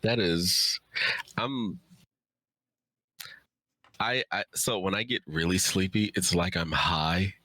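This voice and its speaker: A man with a low-pitched voice chats casually as a guest on a livestream. The sound is very dry, with no room echo around him. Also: slow pace, long pauses, pushed and bright. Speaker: writer, guest.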